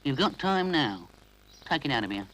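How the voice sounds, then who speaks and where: A man's voice: medium pitch, big pitch swings, moderate pace, a bit bright, a little hiss or hum, pitched above the performer's own voice, rough recording. A man voicing an officious, grumbling train guard who scolds the toys. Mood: scolding.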